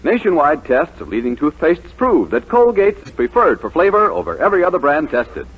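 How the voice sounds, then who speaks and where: An American man reading a 1940s radio commercial as an emphatic, enthusiastic sales pitch. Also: huge pitch swings, a little fast, husky. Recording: tinny, narrow old radio broadcast.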